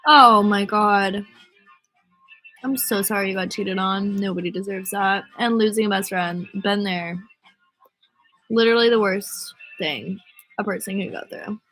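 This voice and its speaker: A young American woman with a stuffy, congested voice from a cold talks casually to her fans, rambling and filling her stories with "like". Her pitch sits mid-range, with faint music beneath her. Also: fairly even intonation, a little slow, a bit bright, poor audio. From United States. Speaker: singer answering fan comments. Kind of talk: casual chat on a livestream.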